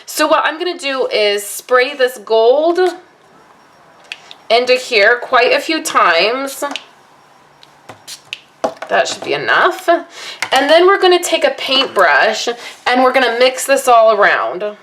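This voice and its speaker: A woman crafter with a fairly high, slightly hoarse voice talks through a card-making project in a casual, rambling way. She apologizes now and then, and she sounds like she is speaking at a home craft table under an overhead camera. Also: very animated, takes it slowly, long pauses, a bit bright. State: voice a little hoarse from allergies and little sleep. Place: home craft workspace, indoors.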